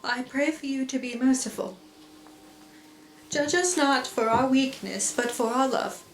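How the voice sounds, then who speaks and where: A woman's voice: high-pitched, measured pace. An actress playing a devout, tender, fretful woman in a live radio play, with an earnest, theatrical delivery. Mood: tender, solemn. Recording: echoey live stage, clear background noise.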